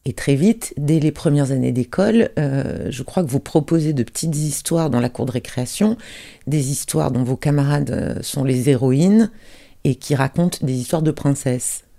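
An interviewer asking formal, measured questions. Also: mid-range voice, creaky, mellow.

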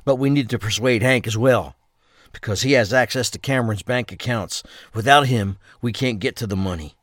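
A tough male criminal played by an actor in a crime audio drama. He is wary and streetwise, with blunt phrasing. His pitch sits mid-range and the recording is dry. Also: moderate pace, a bit bright, dry recording, lightly acted. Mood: reluctant, resigned.

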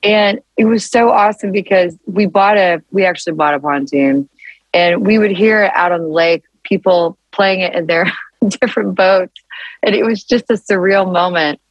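A woman songwriter with a deeper, low-register voice, chatting casually as an interview guest and telling animated stories with many restarts. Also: moderate pace, dry recording, highs cut off. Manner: animated storytelling with frequent restarts and laughs.

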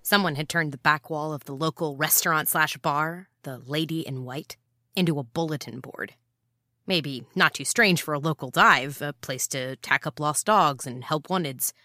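Casting: female private eye, low and bright-edged, wry, guarded, deadpan, noir audio drama narrator, very dry close sound. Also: normal speed, no room sound. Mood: somber, reflective. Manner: sardonic, deadpan. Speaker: narrator and lead character.

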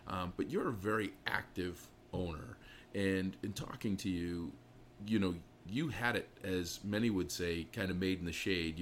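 Very deep-voiced male interviewer, noisy background. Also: a bit bright. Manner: effusive, complimentary. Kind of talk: casual conversational interview. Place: small pub off an inn lobby. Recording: noticeable background noise.

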